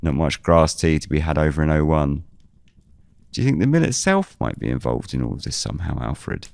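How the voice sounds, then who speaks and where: A man playing a weary investigator who narrates a scripted audio drama in the first person, measured and brooding. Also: very deep voice, big pitch swings, vocal fry, darkish tone, no room sound, exaggerated voice. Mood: inquiring. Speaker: narrator and lead character.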